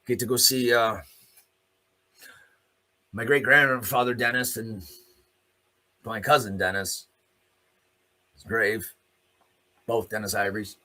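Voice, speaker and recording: A man's voice: on the low side, steady tone, slow pace, pauses often, pushed and bright. A man hosting a solo commentary show, delivering a blunt, off-the-cuff opinionated monologue with free use of swearing.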